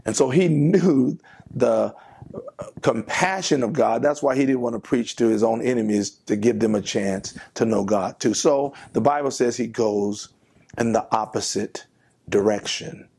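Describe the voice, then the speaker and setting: Gravelly, husky pastor preaching a devotion. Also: male voice, mid-range voice, unhurried, a little mellow. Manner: earnest, emphatic preaching with rhetorical questions. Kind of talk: devotional sermon. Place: pastor's office, seated at desk. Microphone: lapel mic.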